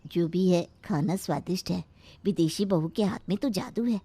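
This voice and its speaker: A woman's voice: lowish voice, moderate pace, warm tone, slight background noise, close to an everyday voice. A woman voicing a gossipy, forthright neighbourhood woman in a dramatised cartoon moral story. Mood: impressed.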